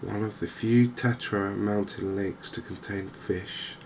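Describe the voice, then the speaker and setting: A man with an English accent giving soft, gentle, unhurried cozy ASMR commentary on a video game as the channel host. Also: lowish voice, steady tone, creaky, a little hiss or hum. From England.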